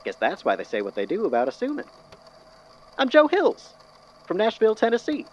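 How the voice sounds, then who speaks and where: An actor playing an eccentric, courteous, musing storyteller with a folksy, wordy, theatrical manner in an audio drama. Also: male voice, sits high, huge pitch swings, a little fast, darkish tone. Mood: affable, chatty. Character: eccentric, courteous, philosophical storyteller man from Tennessee. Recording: dull lo-fi audio with clear noise.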